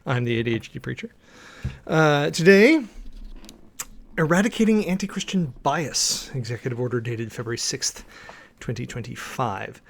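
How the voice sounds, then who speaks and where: A man giving a dramatic, deliberate read-aloud of a formal document, with theatrical emphasis and weighty pauses. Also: mid-range voice, animated, vocal fry. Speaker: preacher, host of a dramatic reading series.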